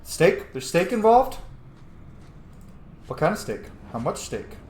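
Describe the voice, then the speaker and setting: Female let's-play host: low pitch, very animated delivery, informal and jokey, roomy sound. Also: slow. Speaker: gaming video host. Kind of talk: casual playthrough commentary. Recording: echoey room with faint music. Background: faint game music.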